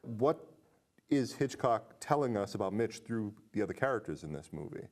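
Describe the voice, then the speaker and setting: A man co-hosting a casual film discussion, analytical and animated, posing questions to his partner. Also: fairly low pitch, moderate pace. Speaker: podcast co-host.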